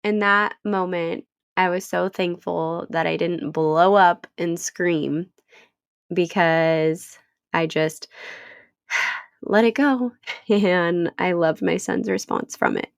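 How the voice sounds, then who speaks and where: A woman's voice: lowish voice, steady tone, takes it slowly, vocal fry, clean tone, dry recording, clean studio sound. A woman in her thirties hosting her own podcast, stuffy from a cold, talking in an earnest, encouraging way. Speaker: podcast host. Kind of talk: solo podcast talk.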